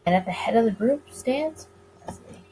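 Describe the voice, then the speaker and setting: High-voiced woman reading a story game aloud. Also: lively intonation, moderate pace, warm tone, a little hiss or hum, rough recording. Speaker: let's player reading a visual novel aloud. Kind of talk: dramatic reading of game text with casual asides.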